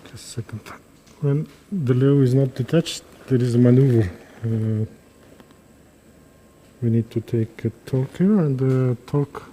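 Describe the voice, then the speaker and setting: Slow, dark-voiced man. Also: medium pitch, slight background noise, poor audio. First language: Kazakh. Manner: calm, halting, thinking aloud step by step. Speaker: surgeon. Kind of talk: live commentary during a streamed procedure. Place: operating room.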